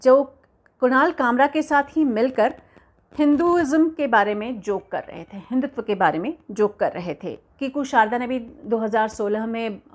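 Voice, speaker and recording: Earnest woman host, measured and hesitant. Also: fairly high pitch. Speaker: entertainment news host. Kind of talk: scripted news commentary to camera. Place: home room, indoors.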